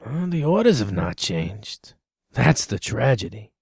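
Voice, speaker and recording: A gravelly, husky lamplighter, acted by a man, bone-tired and resigned. He grumbles about his duty in a weary storybook drama voice. The sound is dry and close. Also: lowish voice, very animated, measured pace, no room sound, full character voice. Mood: weary complaint.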